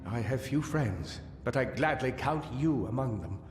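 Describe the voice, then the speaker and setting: An actor playing a weary, guilt-ridden, paranoid gnome scholar in a fantasy video game, theatrical and anguished, halting as he muses. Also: mid-range voice, animated, natural voice. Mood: weary, regretful. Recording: echoey cavern-like reverb over music.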